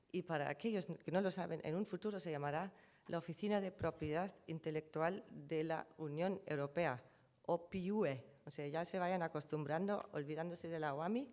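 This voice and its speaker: A woman with a notably low voice presents at a conference, her first language being German. She explains figures from her slides one by one and often corrects herself mid-sentence. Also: darkish tone. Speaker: conference speaker on trademarks.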